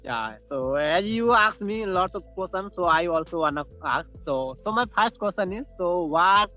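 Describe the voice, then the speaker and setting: A man's voice: high voice, expressive, moderate pace, sharp tone, soft background music. A young man from Bihar whose first language is Hindi, practicing English on a video call, hesitant and self-correcting, often laughing and saying "you can say". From Bihar, India.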